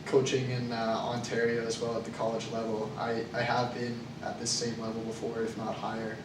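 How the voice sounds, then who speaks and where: A man's voice: mid-range voice, monotone, fairly quick. A young Canadian man playing a job candidate in a class role-play interview, answering with many hesitations. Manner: hesitant, with frequent uh and um fillers. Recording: echoey room, far from the mic, noisy.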